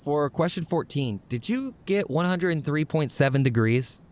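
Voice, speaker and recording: A man playing a guilt-ridden, defensive teenage son in a comic dub, hesitant and evasive, halting as he talks. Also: on the high side, animated, darkish tone, a little hiss or hum, close to an everyday voice. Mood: sheepish. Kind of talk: amateur comic dub voice acting.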